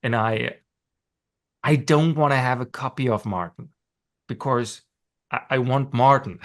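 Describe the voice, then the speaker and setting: A middle-aged man with a clear German accent talks through his ideas on a webinar panel from his office. His delivery is loose and conversational, with plenty of "right?" check-ins and the odd laugh at his own expense. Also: mid-range voice, unhurried, a bit bright. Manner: explanatory, with frequent "right?" tags and self-deprecating laughs. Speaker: panelist and course instructor. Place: home office.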